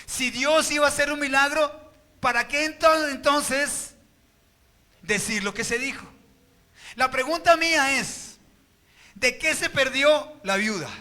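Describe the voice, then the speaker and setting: A man's voice: very high-pitched, unhurried, pauses often, bright, forceful tone. A Colombian man preaching a sermon as pastor at a church service, firing rhetorical questions at the congregation.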